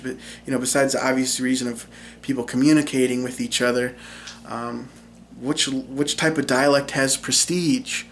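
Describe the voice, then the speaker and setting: A young man with a central Minnesotan accent talks to camera, relaxed and explanatory, with lots of ums and you-knows. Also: medium pitch, a little hiss or hum. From Minnesota, USA. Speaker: linguist and YouTube English teacher. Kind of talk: casual talk to camera.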